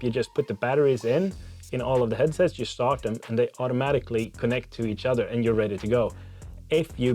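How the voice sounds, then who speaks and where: A man's voice: medium pitch, a little mellow. A man hosting a tech channel, talking through a product review to camera in a casual, conversational way.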